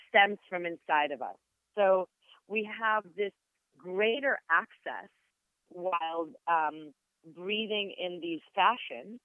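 A woman with an Israeli accent, a Hebrew native speaker, explaining things as a podcast guest in a measured, searching way, with frequent fillers and restarts. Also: fairly low pitch, clear, resonant tone, dry recording. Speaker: podcast guest, sound therapist. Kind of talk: reflective explanatory interview talk. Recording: tinny phone-like line, dry.

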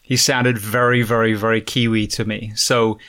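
A man from England with an accent that mixes English and American, hosting an interview with relaxed, friendly questions. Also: on the low side, moderate pace. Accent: mixed English and American. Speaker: podcast host.

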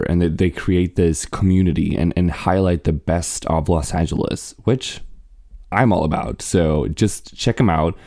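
A man's voice: deep voice, dark tone, no echo, clean studio sound. A man whose first language is Swedish, hosting his own podcast in a casual, earnest and upbeat monologue as an actor.

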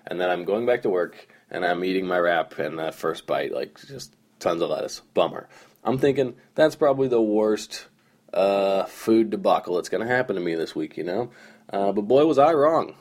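A young man with a hoarse, groggy voice, rambling through casual stories on his solo podcast with lots of fillers. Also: sits low, expressive, moderate pace, a little mellow. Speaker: podcast host.

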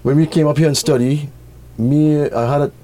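A man's voice: a little high, fairly even intonation, moderate pace, dark tone. A middle-aged man from Trinidad with a Trinidadian accent, telling stories casually and colloquially as an interview guest. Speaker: interview guest, record shop owner. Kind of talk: casual conversational storytelling.

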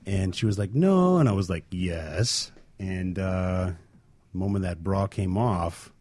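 A man's voice: deep voice, expressive, measured pace, a little mellow, slightly rough. A man co-hosting a radio show, telling stories casually in an easygoing, dry manner. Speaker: radio co-host. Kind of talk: casual talk show storytelling.

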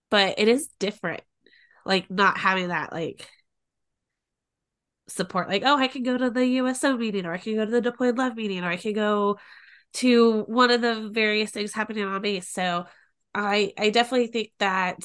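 A woman's voice: mid-range voice, a bit bright, clear, resonant tone. A woman co-hosting a podcast, talking casually with a stuffy nose from a cold and lots of fillers. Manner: chatty, full of fillers. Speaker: podcast co-host.

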